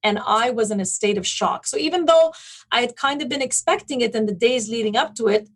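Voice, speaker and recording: A woman's voice: fairly high pitch, brisk. A woman speaking as an interview guest, recounting things in an articulate, detailed, conversational way. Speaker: interview guest, event planning business owner.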